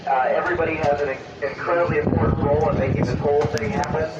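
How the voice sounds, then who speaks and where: Man, fairly high voice, astronaut explaining, upbeat, slightly halting, radio transmission, echoey, heavy noise. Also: fairly even intonation. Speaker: astronaut answering questions by radio. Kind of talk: question-and-answer over amateur radio. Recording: noisy, echoey, dull amateur radio link, distant.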